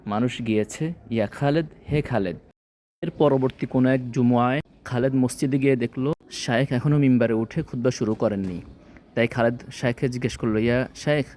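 Mellow male language teacher. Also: mid-range voice, normal speed. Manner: didactic, enunciates phrases in small chunks. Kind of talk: scripted lesson, phrase-by-phrase translation.

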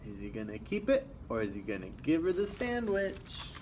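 A man's voice: on the high side, huge pitch swings, moderate pace, a little mellow. A young man reading a children's picture book aloud, expressively, with asides to young listeners. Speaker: storytime reader. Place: small office room, indoors. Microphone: camera a few feet away. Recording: faint background noise.

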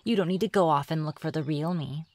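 A woman's voice: deep voice, fast talker. A woman playing a playful, sly reclusive witch in an ASMR fantasy roleplay, musing and teasing in a coy manner. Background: birdsong and forest ambience.

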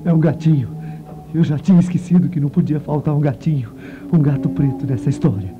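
A man playing a nosy, jittery reporter muttering to himself in a comic radio play, with a creaky voice. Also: a little high, brisk, background noise, close to an everyday voice. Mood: puzzled, spooked. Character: nosy, jittery newspaper reporter snooping alone. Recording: dull lo-fi radio recording.

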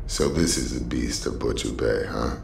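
An actor playing a menacing, calm convict antihero in a video game, terse and deadpan, with a gravelly voice. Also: male voice, normal speed, a little hiss or hum, full character voice. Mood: cool menace. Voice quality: very deep gravelly voice. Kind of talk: cinematic video game acting.

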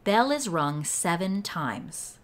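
Woman reader, lower register, unhurried measured delivery for scripted read-aloud. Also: very animated. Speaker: read-along host and reader. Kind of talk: slow scripted read-aloud of a ritual book.

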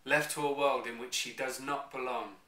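A young man playing an energetic storyteller, narrating a pirate adventure dramatically for a radio play. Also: medium pitch, moderate pace, natural voice. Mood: dramatic. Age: young adult. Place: small room, indoors. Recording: roomy, distant camera sound.

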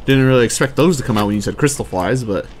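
A man's voice: medium pitch, fast, a little hiss or hum. A man doing casual let's play commentary, muttering to himself and swearing freely over game play. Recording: game music behind the voice. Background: video game music and sounds.

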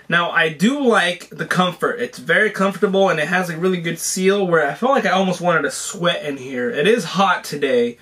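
A hoarse young man casually reviewing a VR headset at home, exclaiming through gameplay. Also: a little high, a little hiss or hum. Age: young adult. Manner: excited exclamations, chatty. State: hoarse from being sick. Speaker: tech reviewer and VR gamer. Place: small home room with shelves, indoors.

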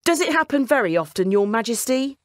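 Helpful storybook merchant, read by a woman: polite, practical man, higher pitch, animated. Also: a little fast, dry recording, clean studio sound. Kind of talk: children's bedtime story character voice.

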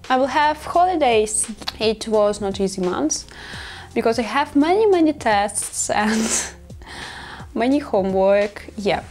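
A teenage girl with a Russian accent, her first language Russian, chatting in a casual home vlog in her bedroom, halting as she searches for English words. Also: on the high side, a little mellow. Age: teens. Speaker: vlogger.

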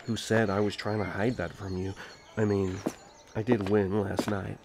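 Man voicing a cocky, flirtatious elf prince, low-voiced. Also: level tone, moderate pace, background noise, close to an everyday voice. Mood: smug teasing. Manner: smooth-talking, teasing, boastful. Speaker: voice actor. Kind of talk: ASMR fantasy audio roleplay.

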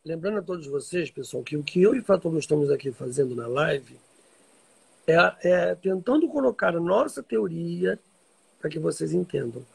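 Gravelly, high-pitched Brazilian man. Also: lively intonation, normal speed, a little mellow. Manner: emphatic, repeats phrases for stress. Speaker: religious teacher hosting a live stream. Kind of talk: informal live-stream talk answering followers. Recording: livestream audio reposted from social media.

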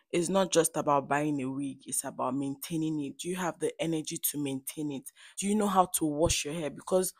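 Female beauty vlogger, Nigerian Igbo accent, low pitch, dry close sound; chatty, playful, emphatic tutorial delivery. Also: no room sound. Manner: playful, emphatic, addresses viewers directly. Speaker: hair stylist and beauty vlogger. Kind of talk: chatty tutorial voice-over to viewers.

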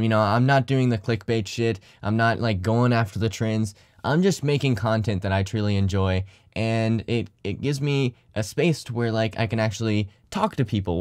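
A man's voice: fairly low pitch, no room sound, clean studio sound. A young man vlogging casually to his viewers in his room, rambling, swearing often, his voice tired from not talking all day. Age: young adult. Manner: rambling, swears a lot, thankful. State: tired, slightly deep morning voice. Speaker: YouTuber and streamer. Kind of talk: casual vlog talk to camera. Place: small bedroom corner.

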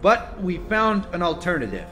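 A man's voice: sits high, sing-song, normal speed, sharp tone, faint music behind, heavily acted. A man acting an earnest rebel officer giving a military briefing in an audio drama, urgent and declarative.

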